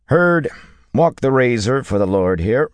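Man voicing a shrewd stable-keeper, lifted lively voice. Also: fairly low pitch, unhurried, dry recording, pitched above the performer's own voice. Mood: calling out. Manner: deferential but bland salesman's patter. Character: wiry, leathery, shrewd male stable-keeper selling horses. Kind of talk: audiobook character dialogue.

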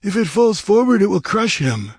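A man's voice: sits high, big pitch swings, normal speed, darkish tone, husky, no echo, studio quality, pitched above the performer's own voice, full character voice. A man voicing a wary desert tribesman with guttural speech, in an adventure audiobook. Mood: worried objection. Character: wary male desert nomad tribesman, objecting.

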